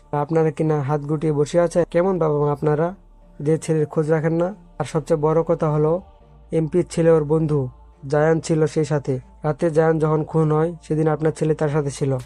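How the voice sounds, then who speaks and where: A man's voice: fairly high pitch, level tone, normal speed, dark tone, soft background music, lightly acted. A man playing a firm, no-nonsense police officer in a dramatized audio story. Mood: stern.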